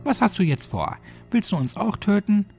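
Horror audiobook role: mouthy, defiant teen rebel girl read by a man, raised pitch, sarcastic, animated. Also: moderate pace, soft background music. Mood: angry defiance. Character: rebellious, foul-mouthed, angry seventeen-year-old girl.

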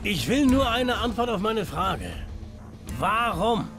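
An actor playing a bitter, hot-tempered male brawler in a video game, gruff and aggressive. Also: high voice, big pitch swings, takes it slowly, exaggerated voice. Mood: angry. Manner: gruff, aggressive, foul-mouthed. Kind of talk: dramatic video game acting. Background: music.

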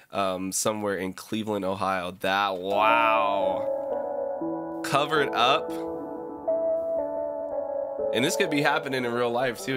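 A young American man with a fairly low voice does a casual reaction. He reads aloud haltingly and drops in slangy comments. Prominent guitar music plays behind him throughout. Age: young adult. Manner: halting, stumbling read-aloud with slangy asides. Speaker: reaction video creator. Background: prominent instrumental music with guitar.

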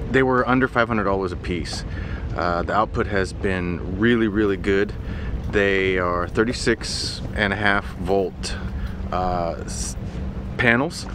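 A man in his forties talking casually and matter-of-factly to camera in halting phrases, explaining gear like a vlogger. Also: low-pitched. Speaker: sailing vlogger reviewing equipment. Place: outdoors on a sailboat deck at anchor. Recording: windy outdoor boat deck noise.

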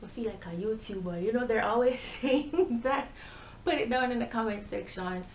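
A woman's voice: on the high side, moderate pace. A young adult woman with a Jamaican accent, chatting casually and animatedly to camera as a vlogger. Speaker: YouTube vlogger. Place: small bare-walled room indoors. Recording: echoey room with background hiss.

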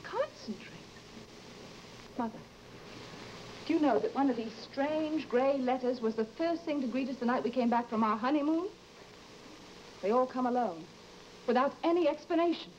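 A woman's voice: high voice, moderate pace, long pauses, darkish tone, lightly acted. A woman playing an anxious, suspicious young wife who is imperious with servants, in an old-time radio horror drama. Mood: agitated, troubled. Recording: dull old radio recording with hiss.

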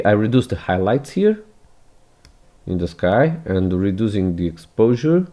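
Slow, low-voiced man explaining photo edits. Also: warm tone, dull audio. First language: Portuguese. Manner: hesitant, many restarts, non-native phrasing. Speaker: photography tutor. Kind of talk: screen-recorded software tutorial.

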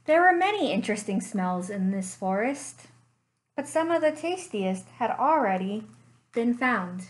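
Young female librarian at home, slow expressive storybook reading, voice on the higher side. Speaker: children's librarian. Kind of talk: reading picture books aloud. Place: living room at home.

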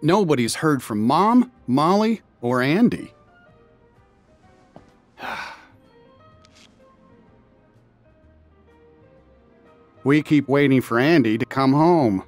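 An actor playing a friendly, easygoing cowboy toy leader in a video game, folksy and reassuring. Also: male voice, a little high, animated, slow pace, long pauses, dry recording, soft background music. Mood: wistful. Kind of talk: animated video game dialogue.

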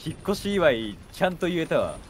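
A man's voice: a little high, big pitch swings, a little fast, a little hiss or hum. A man gaming and chatting casually with a co-player as a streamer, teasing with dry retorts. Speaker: game streamer. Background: game music.

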